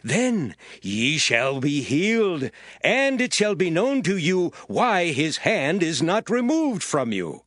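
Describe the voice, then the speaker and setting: A man playing a solemn ancient priest who gives stern, deliberate, declamatory counsel in a dramatized scripture reading. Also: fairly high pitch, big pitch swings, fairly bright tone, no echo, studio quality, full character voice. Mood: solemn instruction. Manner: slow, deliberate, emphatic, declamatory.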